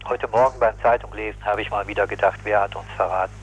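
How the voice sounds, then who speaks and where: A man speaking as an activist in a spontaneous political interview, critical and opinionated, halting and correcting himself as he goes. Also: on the low side, fairly even intonation, slightly rough. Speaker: anti-nuclear activist interviewee. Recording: muffled, lo-fi 1980s radio recording.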